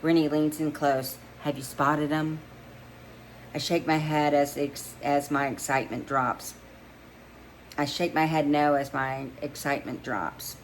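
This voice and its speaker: A woman's voice: low-pitched, steady tone, measured pace, slight background noise, poor audio. A woman reading romance fiction aloud as a first-person narrator, stumbling now and then and re-reading phrases. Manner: stumbles over words and re-reads phrases. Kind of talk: amateur read-along of romance fiction, first-person storytelling.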